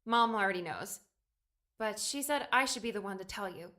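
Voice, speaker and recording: A woman's voice: mid-range voice, expressive, moderate pace, sharp tone. A woman playing an earnest, hesitant young woman in an audiobook drama. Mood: earnest, anxious. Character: earnest, homesick young woman, eighteen in memories. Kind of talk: audiobook character acting.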